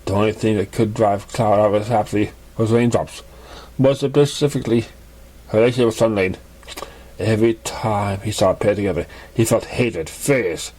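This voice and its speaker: A man's voice: lowish voice, normal speed, a little mellow, low-quality recording. A man reading fan fiction aloud as an amateur narrator, often stumbling over words and restarting them. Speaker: amateur story reader.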